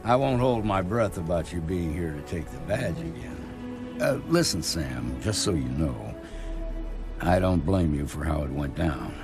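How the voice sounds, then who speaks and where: A gruff frontier lawman from a space western game, weary and blunt with folksy turns of phrase. The voice is gravelly and creaky, with faint music behind. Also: sits low, very animated, measured pace, exaggerated voice. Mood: weary, measured. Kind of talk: video game voice acting.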